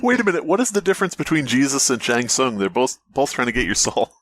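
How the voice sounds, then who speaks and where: A man's voice: mid-range voice, big pitch swings, quick pace, a bit bright, slight background noise. A man co-hosting a podcast with casual comedic banter, riffing wryly. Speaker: podcast host.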